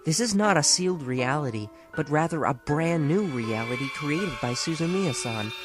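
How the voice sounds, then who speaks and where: A man playing a calm, polite young man who now appears as a glowing spirit, speaking smoothly with a light teasing edge. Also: a little high, normal speed, close to an everyday voice. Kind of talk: fan audiobook acting. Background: music.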